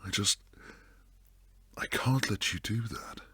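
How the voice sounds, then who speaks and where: A man playing a protective, devoted bodyguard and secret lover in an intimate boyfriend roleplay, low and husky, speaking earnestly close to the mic. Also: slow pace, bright, forceful tone, dry recording, heavily acted. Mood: pleading, tender. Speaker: audio roleplay performer. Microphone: close, dry studio mic.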